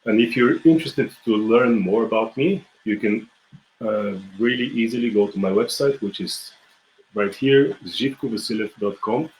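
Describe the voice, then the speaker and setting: A young man from Bulgaria teaching a folk tune in a casual live online lesson, talking in a relaxed, halting way with many fillers and restarts. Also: lowish voice, a little hiss or hum. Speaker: music teacher. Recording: echoey room with faint ambience.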